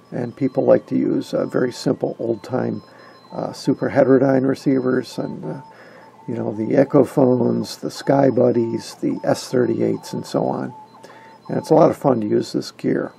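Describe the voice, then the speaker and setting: Husky guy with a low-key mellow tone, casually walking thru his hobby, kinda halting. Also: poor audio. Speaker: amateur radio hobbyist presenter. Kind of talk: casual explainer.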